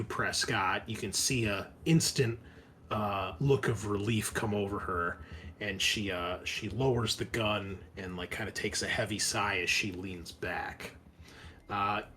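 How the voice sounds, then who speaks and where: A man improvising descriptive, halting narration as the game master of a tabletop roleplaying session. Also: on the low side, fairly bright tone, slight background noise.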